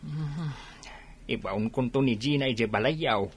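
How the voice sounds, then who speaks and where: A man's voice: medium pitch, slow, breathy edge. An actor playing a weary, worried middle-aged rural father in a radio drama, sighing and plaintive. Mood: concerned.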